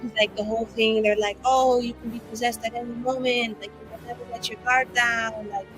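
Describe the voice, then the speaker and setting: Woman acting as young female mage, tipsy, chatty, gushing, high voice, tabletop fantasy game, music behind. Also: flat delivery, a little fast, lightly acted, low-quality recording. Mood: tipsy, earnest. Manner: rambling, informal, effusive.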